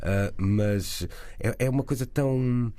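A man with a Porto accent hosting a casual panel discussion, talking in quick bursts with restarts and hedges. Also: medium pitch, dark tone, no room sound.

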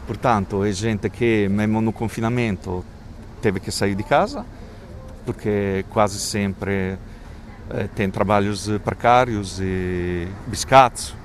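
A man's voice: on the low side, a little hiss or hum. A man with an Italian accent, an Italian native speaker, talking hesitantly with many restarts as a community worker interviewed outdoors. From Italy. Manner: hesitant, halting with restarts and fillers. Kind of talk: street interview. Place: outdoors in a residential neighbourhood.

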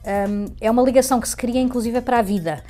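A woman's voice: a little high, fast, dark tone, faint music behind. Composed woman organiser. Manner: composed, articulate. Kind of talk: interview answers.

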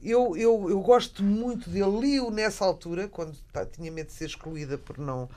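A Portuguese woman in her sixties with a European Portuguese accent, chatting about books in an animated, halting way. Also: medium pitch. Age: 60s. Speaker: radio book show co-host. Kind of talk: casual literary chat.

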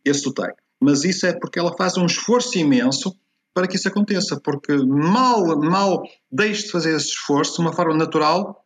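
A man's voice: a little high, brisk. A man with a European Portuguese accent explaining things conversationally as an interview guest, repeating words for emphasis. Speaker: interview guest, expert on language.

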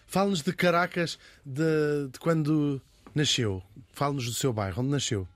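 A man hosting an interview, brisk and playful as he questions his guests. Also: on the high side, dry recording. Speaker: host.

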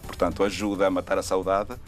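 A Mexican man with a Mexican accent speaks as an interview guest, his voice a little low. He talks in a relaxed, thoughtful way and often restarts his phrases. Faint music plays underneath. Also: fast. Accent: Mexican Spanish. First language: Spanish. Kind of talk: casual interview chat.

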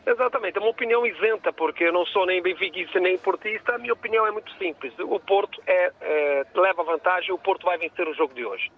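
Over a narrow, tinny phone line, a male caller speaks in a high-pitched voice with a Brazilian-tinged Portuguese accent. He gives his football opinions emphatically and often repeats his points. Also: quick pace, few pauses. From Portugal, lived many years in Brazil. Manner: emphatic, repetitive.

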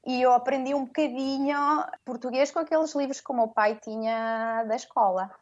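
A woman's voice: high-pitched, steady tone, normal speed, darkish tone, muffled recording. A woman who grew up in Venezuela and Madeira, speaking as an interview guest. She talks in a hesitant way, with frequent fillers and tag questions. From Venezuela, raised from 12 in Madeira. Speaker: guest, language teacher. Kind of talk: conversational interview.

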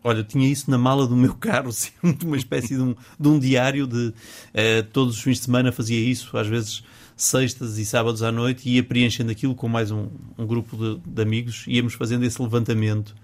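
Male radio guest from Porto, northern Portuguese accent, medium pitch, hesitant and chatty, studio mic. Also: level tone, fairly quick. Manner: chatty, hesitant, repeats words, laughs easily. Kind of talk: casual interview chat. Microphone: studio microphone.

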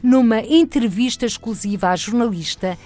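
A woman presenting a news magazine, reading a scripted introduction in a measured, formal way. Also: on the high side, dark tone.

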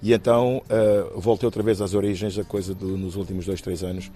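A man in his fifties from Guinea-Bissau speaks quickly but haltingly, circling back over his words with fillers and restarts. His voice sits low and mellow. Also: flat delivery, soft background music. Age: 50s. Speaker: interviewee, actor and musician. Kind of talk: reflective personal interview.

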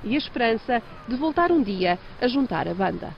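A woman's voice: a little high, sing-song, fairly quick, creaky, slight background noise. A woman reading scripted voice-over narration as a TV reporter. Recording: dull archive TV clip with music.

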